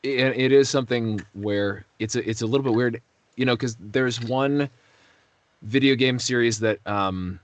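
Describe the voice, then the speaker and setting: A man in a casual interview as a voice actor, rambling and self-deprecating, pausing to search for words. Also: mid-range voice, dry recording.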